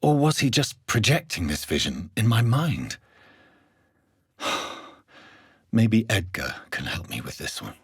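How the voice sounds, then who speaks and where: A man playing an earnest, stern gentleman doctor of early twentieth-century England, secretly a vampire, in a video game, with formal, measured, intense diction. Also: fairly low pitch, wildly swooping pitch, husky, dry recording, studio quality, exaggerated voice. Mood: brooding, musing. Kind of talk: dramatic video game voice acting.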